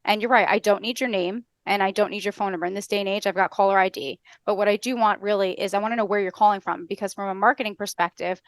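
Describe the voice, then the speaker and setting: A middle-aged American woman on a headset, explaining step by step as a podcast guest in a brisk, structured, instructional way. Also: mid-range voice, fairly even intonation, no echo. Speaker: podcast guest, customer service trainer. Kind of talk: explanatory interview talk with acted-out call examples. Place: indoor room on a video call.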